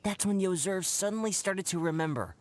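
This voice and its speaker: A man's voice: high-pitched, a little fast, darkish tone, a little hiss or hum, natural voice. An actor playing an earnest, humble young male adventurer in an anime fantasy game, speaking like a storyteller. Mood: earnest, dramatic. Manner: storytelling, hesitant when praised.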